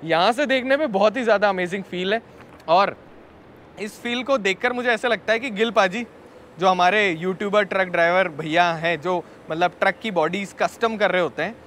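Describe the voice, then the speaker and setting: A man's voice: high-pitched, a bit bright, slight background noise. A man presenting a vehicle walkaround in a chatty way, talking to his viewers, recorded outdoors at an expo. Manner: chatty, addresses viewers as friends. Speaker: vehicle reviewer.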